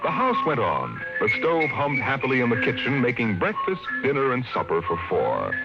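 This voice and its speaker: A man narrating a 1950s radio drama, measured and slowing down for dramatic effect. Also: mid-range voice, wildly swooping pitch, vocal fry. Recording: muffled old radio broadcast with music.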